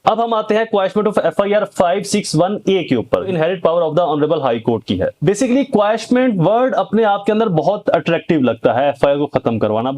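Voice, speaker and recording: Higher-voiced Pakistani man explaining law. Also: expressive, moderate pace, hardly any pauses, darkish tone. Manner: emphatic, poses rhetorical questions and answers them; mixes English legal terms into speech. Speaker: advocate and legal explainer. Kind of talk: informal legal lecture to viewers.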